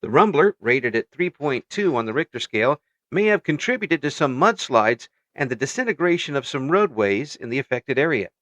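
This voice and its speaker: A man playing a radio network news anchor, reading a scripted newscast in a formal, measured announcer's delivery. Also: mid-range voice, very animated, a bit bright, dry recording.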